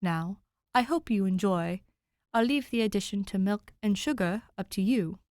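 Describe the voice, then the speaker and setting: A woman's voice: mid-range voice, darkish tone, no room sound, studio quality, lightly acted. Woman voicing a refined, courteous elderly English butler. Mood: courteous, instructive. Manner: formal, measured, courteous.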